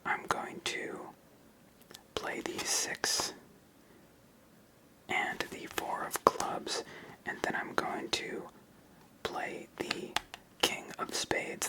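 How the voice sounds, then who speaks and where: A host whispering ASMR commentary while playing a solo card game, explaining each move step by step in an unvoiced whisper. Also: poor audio. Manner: slow, step-by-step narration of moves.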